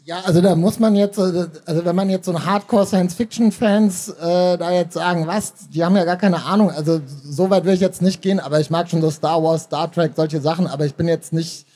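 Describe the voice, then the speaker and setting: A man with a high yet soft, mellow voice talks as a guest on stage. His answers are casual and loosely rambling, full of qualifications. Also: steady tone, a little fast, hardly any pauses. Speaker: author, interview guest. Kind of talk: casual conversational interview answers. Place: stage at a book fair. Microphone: handheld microphone.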